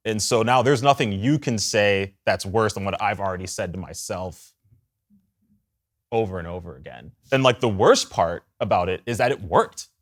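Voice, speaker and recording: A man's voice: on the low side, lively intonation, normal speed. A man talking in a candid, reflective way as a church discussion panelist. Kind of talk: candid personal sharing.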